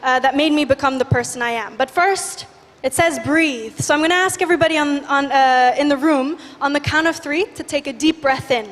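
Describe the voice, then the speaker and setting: Energetic young woman in a hall. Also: high voice, brisk. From Indonesia. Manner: lively, guides the audience in breathing. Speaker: youth speaker. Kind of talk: energetic stage talk. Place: large conference hall stage. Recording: reverberant hall.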